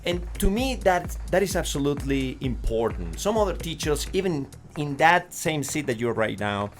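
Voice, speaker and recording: Animated Colombian host, quick questions. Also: male voice, a little high, faint music behind. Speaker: show host. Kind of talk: casual interview chat.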